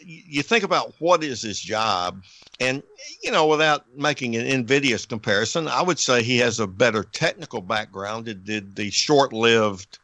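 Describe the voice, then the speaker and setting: An American man giving conversational commentary as a podcast panelist, choosing his words in a measured way. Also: mid-range voice, creaky, pushed and bright, dry recording.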